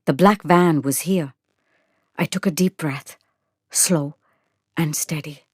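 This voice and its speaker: Low-voiced woman narrating a dramatic story. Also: takes it slowly, slightly rough, dry recording, studio quality. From India. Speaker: narrator and actor. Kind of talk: dramatic first-person short story narration.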